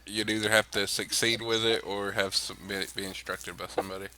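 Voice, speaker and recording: A man's voice: fairly low pitch, flat delivery, fairly quick, bright, forceful tone, a little hiss or hum, poor audio. A man chatting casually and swearing freely as a player in a tabletop game. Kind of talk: casual chat.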